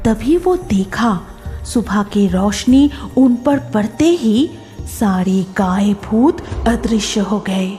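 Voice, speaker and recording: A woman's voice: medium pitch, animated, slow pace, dark tone, faint music behind. A woman narrating a scripted cartoon horror story in a dramatic storytelling manner.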